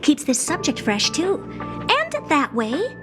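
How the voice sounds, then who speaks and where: A woman's voice: high voice, huge pitch swings, slow, exaggerated voice. A woman playing a haughty, doll-like genius scientist in a video game, condescending and blunt. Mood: breezy, satisfied. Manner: condescending, smug, blunt, bored drawl turning to keen curiosity. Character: haughty, doll-like female genius scientist who owns a space station. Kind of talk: video game cutscene acting. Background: music.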